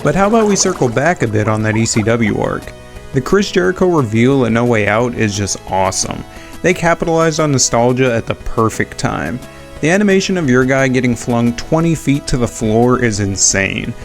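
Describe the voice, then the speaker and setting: A man's voice: mid-range voice, expressive, moderate pace, creaky. Man narrating sweary video commentary over music. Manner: sweary, opinionated fan talk. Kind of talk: casual retrospective video commentary.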